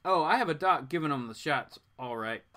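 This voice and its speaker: Man doing a folksy, boastful rich dad, bright. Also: fairly high pitch, animated, a little fast. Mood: jovial, boastful. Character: folksy rich father in league with a villainess.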